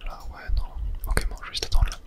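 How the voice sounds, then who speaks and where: A man from whispers casual ASMR gaming commentary in a breathy, unvoiced voice, murmuring his thoughts aloud. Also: fast talker. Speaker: ASMR gaming video host.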